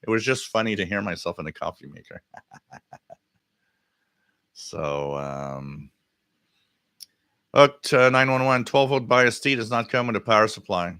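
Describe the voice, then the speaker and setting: Male livestream host. Slightly low, crisp bright tone, halting off-the-cuff delivery, casual replies to chat. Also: no room sound, studio quality. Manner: halting, thinking aloud. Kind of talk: casual live stream chat, answering viewer comments.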